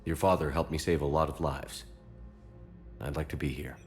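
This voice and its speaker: A man's voice: a bass voice, steady tone, moderate pace, a little mellow, faint music behind. An actor playing a stoic, loyal military commander in a sci-fi video game, terse and direct, a man's voice. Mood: quiet, respectful. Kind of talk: dramatic video game acting.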